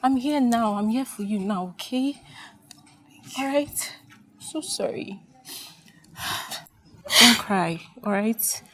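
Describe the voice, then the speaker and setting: A woman's voice: on the high side, lively intonation, takes it slowly, pauses often, fairly bright tone, slight background noise. An actress playing a consoling woman friend who talks in a soothing way, repeating her apologies over and over. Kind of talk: melodrama scene.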